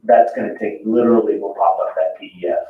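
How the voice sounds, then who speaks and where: Man, low-pitched, halting informal meeting presenter, heard from a distance in a roomy space. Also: steady tone. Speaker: commission member presenting at a public meeting. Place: indoor public meeting room. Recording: roomy, distant, dull, noisy meeting-room pickup.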